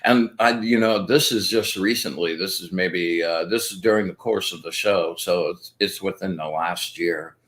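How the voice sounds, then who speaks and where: A man's voice: deep voice, moderate pace, hardly any pauses, a bit bright. A man hosting a casual spiritual talk, rambling and enthusiastic, full of fillers and restarts, walking his viewers through his methods step by step. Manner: explains step by step. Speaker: host.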